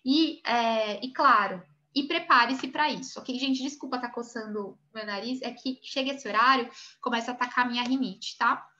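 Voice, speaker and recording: A woman's voice: a little high, normal speed, few pauses, pushed and bright, highs cut off. A Brazilian woman teaching an online class on image consulting, chatty and full of little check-ins like ok. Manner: chatty, many tag questions like ok and né.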